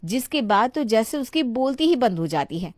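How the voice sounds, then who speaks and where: A woman's voice: on the high side, sing-song, normal speed, dry recording, clean studio sound. A woman reading scripted narration for a moral story as its narrator.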